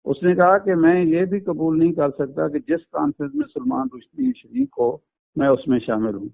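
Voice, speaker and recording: Gravelly man on a tinny phone line. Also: on the high side, big pitch swings, no room sound. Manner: measured, earnest, with frequent hesitations. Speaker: interview guest. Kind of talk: phone interview, explaining his account.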